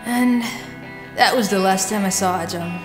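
An actress playing a stubborn, emotional young woman singer in an animated motion comic, with dramatic delivery. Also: mid-range voice, normal speed, over music, natural voice. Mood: sorrowful.

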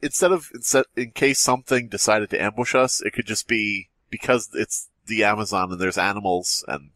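Male podcast co-host, sharp-toned, casual joker, very little room sound. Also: lowish voice, steady tone, normal speed, no room sound. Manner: joking, riffing. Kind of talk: casual comic banter and reading.

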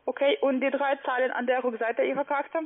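A woman working as a call-center caller, speaking halting, broken German with many hesitant fillers. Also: sits high, flat delivery. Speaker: phone scam caller. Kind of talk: phone call. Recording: narrow phone line.

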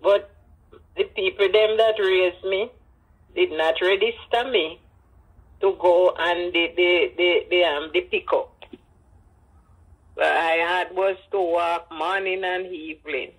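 An elderly woman with a Jamaican accent and husky voice, telling personal stories with emphatic repetition and Creole phrasing. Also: on the low side, steady tone, slow, long pauses, poor audio. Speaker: interviewee, grandmother. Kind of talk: personal storytelling in an interview.

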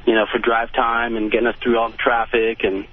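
Male caller, late twenties, casual, mid-pitched, tinny phone-line sound. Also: monotone, fairly quick. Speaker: caller to a radio show. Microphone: telephone.

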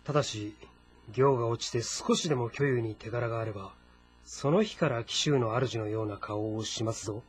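An actor playing a calm, deferential adviser to a warlord in a historical game drama, speaking formally and deliberately. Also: male voice, mid-range voice, slightly rough, lightly acted. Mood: cautious, counselling. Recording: music behind the voice. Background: background music.

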